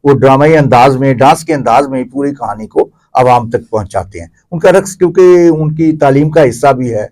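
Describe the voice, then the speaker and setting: A man's voice: medium pitch, a little mellow. A man with a Pakistani accent giving explanatory answers as a guest commentator in an interview.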